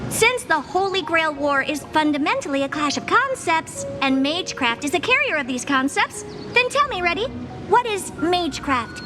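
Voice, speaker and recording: An animated, high-voiced young female genius from a video game, blunt and curious. She exclaims and argues dramatically, her pitch leaping about, with music playing behind her. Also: moderate pace. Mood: insistent. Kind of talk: video game character acting.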